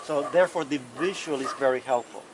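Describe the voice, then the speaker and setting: A soft-voiced man who struggles to project, giving a measured, formal technical explanation as a county official at a public meeting. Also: mid-range voice. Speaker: county environmental official answering questions. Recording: noisy public meeting, loud ambient noise.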